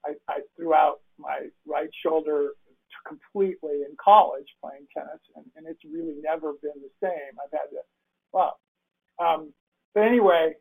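An older man hosts a relaxed interview, his voice gravelly and a little husky. He rambles, restarting his sentences as he goes. The sound is thin and boxy, like a phone call. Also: on the high side, moderate pace. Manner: rambling, many restarts and fillers. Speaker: interview host. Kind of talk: casual interview chat. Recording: phone-like remote call audio.

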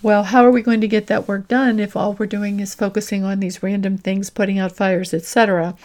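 Female career coach, mid-range voice. Deliberate, emphatic podcast teaching with clearly stressed key phrases. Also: mid-range voice, level tone, darkish tone. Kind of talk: instructional podcast talk with acted example dialogue.

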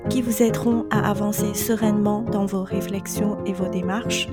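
A middle-aged woman hosting a solo coaching podcast, speaking calmly and reassuringly straight to her listener. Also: medium pitch, normal speed, over loud music. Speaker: separation coach and podcast host.

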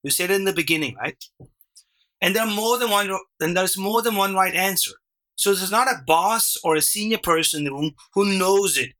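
Danish guy explaining stuff on a podcast, lots of "right?" and restarts, bright tense voice. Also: high voice, fairly quick. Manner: animated, explains with rhetorical "right?" and repeated restarts. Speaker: podcast guest, workshop facilitator. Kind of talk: conversational interview.

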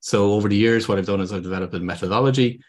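A man's voice: on the low side, racing, darkish tone. A man with an Irish accent, a podcast guest and business consultant, explaining earnestly with frequent ums and restarts. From Ireland. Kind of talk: podcast interview.

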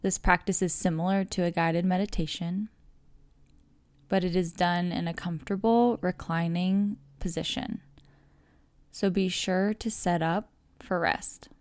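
A woman leads a guided yoga nidra in a slightly low voice. She speaks very slowly and calmly, leaving room between phrases. The sound is close and dry, with no echo. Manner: calm, measured, soothing instruction with long pauses. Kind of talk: guided meditation narration.

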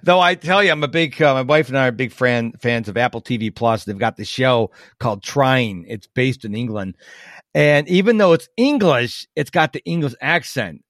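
A man from the United States hosting a podcast, chatting casually and telling animated stories with asides. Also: mid-range voice, moderate pace, no echo, studio quality. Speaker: podcast host. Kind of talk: casual interview chat.

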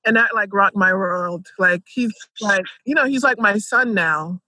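A woman's voice: medium pitch, a little slow, sharp tone, no echo. A woman, telling her life story conversationally and peppering it with "like" and "you know". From Jamaica and Philadelphia, United States. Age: 30s.

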